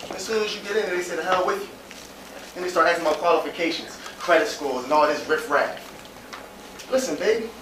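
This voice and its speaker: A man's voice: high voice, fairly bright tone. A man acting on stage as a frustrated, determined young American man, pleading and insistent in slangy speech. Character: frustrated, determined young man hustling for his pregnant partner. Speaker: stage actor. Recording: roomy distant stage recording with hiss.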